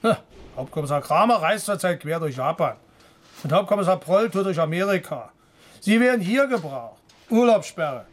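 An actor playing a curt, sarcastic middle-aged police chief in a radio drama. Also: male voice, high-pitched, animated, normal speed. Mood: stern, sarcastic. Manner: curt, ironic, commanding.

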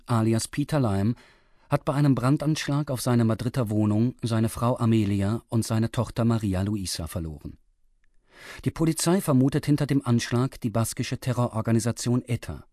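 A man's voice: mid-range voice, moderate pace, dark tone, no echo, studio quality. A man reading a scripted, factual recap for a radio crime drama. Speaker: narrator. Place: dry broadcast studio.

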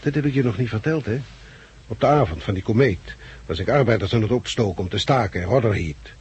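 A man acting a blunt, loudmouthed labour agitator turned survivor leader in a 1960s radio play, forceful and lecturing. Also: on the low side, expressive, fast, husky. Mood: blunt, insistent. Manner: forceful, lecturing, coarse-tongued. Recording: muffled-edged 1969 radio play recording.

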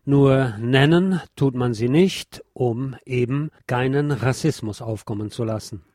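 A man's voice: mid-range voice, a little slow, a little mellow, no room sound, clean studio sound. A man hosting a radio programme, reading scripted local news and adding his own commentary.

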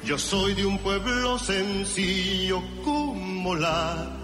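High-voiced man singing over loud music. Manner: sung slowly and earnestly. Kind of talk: singing a folk song. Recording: played-back music recording in echoey room. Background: loud instrumental accompaniment.

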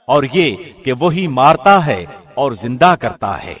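A man reading a scripture translation from a script, in a formal, measured radio presenter's delivery. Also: medium pitch, sing-song, breathy edge.

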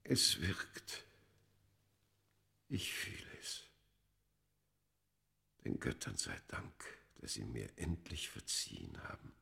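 A man's voice: a bass voice, vocal fry, a bit bright, full character voice. An actor playing a cursed, weary ancient Egyptian man in a solemn, theatrical German radio drama style. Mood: weak, relieved. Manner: theatrical, solemn, weighty delivery.

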